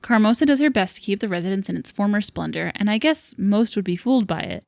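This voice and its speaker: A woman's voice: mid-range voice, animated, quick pace, creaky, no echo, studio quality. Woman as a reflective young servant heroine. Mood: wistful. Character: wistful, quietly defiant young servant woman. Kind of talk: reading visual novel narration and dialogue aloud. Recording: music behind the voice.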